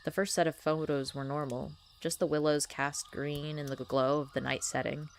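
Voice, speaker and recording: A young woman with a scratchy voice reading a horror story aloud, slow and hushed. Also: sits low, level tone. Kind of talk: slow scripted horror narration.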